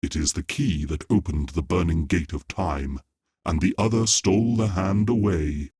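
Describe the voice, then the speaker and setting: A ponderous lecturing tutor, as the narrator puts him on, pitched very low with a husky rumble. He sounds a little distant from the mic in an otherwise dry read. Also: moderate pace, warm tone.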